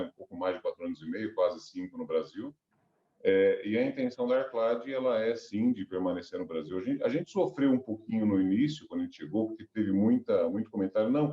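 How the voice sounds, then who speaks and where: A man's voice: lowish voice, dark tone, roomy sound, dull audio. A middle-aged man with a cold and a stuffy, nasal voice, rambling through a business interview as a company director. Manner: rambling, many restarts and fillers.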